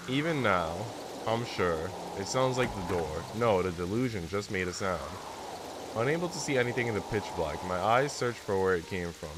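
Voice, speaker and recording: A man's voice: lowish voice, expressive, normal speed, background noise. A man with a congested, sick voice livestreaming a visual novel, switching between reading narration aloud and slangy, rambling casual chat. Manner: informal, slangy, rambling asides. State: congested with a cold. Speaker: live streamer playing a visual novel.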